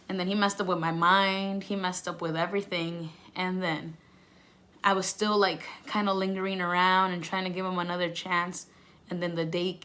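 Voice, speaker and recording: Young female vlogger, slightly low register, candid and conversational, slangy with free swearing, re-enacts dialogue while storytelling. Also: fairly bright tone. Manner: acts out past conversations. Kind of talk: casual personal storytelling vlog.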